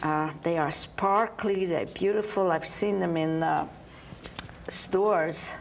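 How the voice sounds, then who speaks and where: A woman's voice: fairly low pitch, slow, vocal fry, slight background noise. An older woman from Hungary hosting a talk show, asking questions and prompting her guest with short remarks. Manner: warm prompting, short interjections and laughs. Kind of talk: casual interview.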